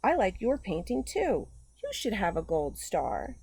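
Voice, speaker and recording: A woman's voice: mid-range voice, huge pitch swings, measured pace, creaky, dark tone, slight background noise, full character voice. A woman voicing a sweet, grateful little schoolgirl in a children's storybook.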